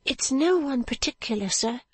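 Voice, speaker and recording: Woman voicing a gentle, childlike young woman, lightly raised. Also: high-pitched, lively intonation, moderate pace, husky, no echo, pitched above the performer's own voice. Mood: polite, timid. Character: gentle, small, childlike young woman, meek yet brave. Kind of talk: dramatized audiobook reading of a classic novel.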